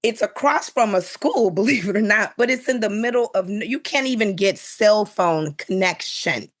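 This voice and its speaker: A woman's voice: medium pitch, animated, moderate pace. A middle-aged woman speaking bluntly and emphatically in a casual panel discussion as a guest.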